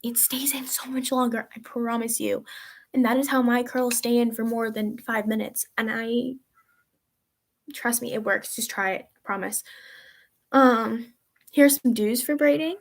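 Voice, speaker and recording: Teen girl rambling through a q&a vlog, kinda high voice, lots of likes and ums. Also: steady tone, measured pace. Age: teens. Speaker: vlogger answering viewer questions. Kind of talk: casual chat.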